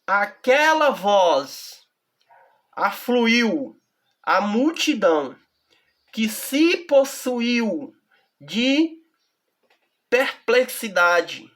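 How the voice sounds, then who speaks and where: A woman with a Brazilian accent teaching a Bible study, reading verses slowly and deliberately and often checking in with the listener. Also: fairly high pitch. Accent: Brazilian Portuguese.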